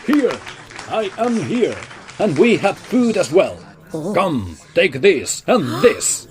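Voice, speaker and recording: A man's voice: sits high, sing-song, slow, a crowd in the background, full character voice. A man playing a gallant, theatrical opera singer of old times in an audio drama, speaking floridly and expressively. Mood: eager.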